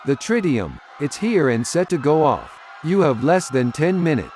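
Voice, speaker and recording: A man playing a brave, steady veteran reporter in a comic dub, deliberate and defiant. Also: fairly high pitch, slight background noise. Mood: urgent.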